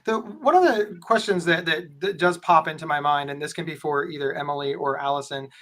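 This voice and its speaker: A man hosting a live online talk, with a big booming voice and a rambling way of talking full of restarts. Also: brisk, a bit bright. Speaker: host. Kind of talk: live webinar moderating.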